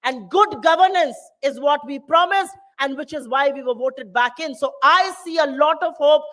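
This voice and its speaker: A woman's voice: high voice, moderate pace, fairly bright tone. A middle-aged woman whose first language is Hindi, giving a passionate political speech in a town hall with rousing, repetitive rhetoric. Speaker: political speaker.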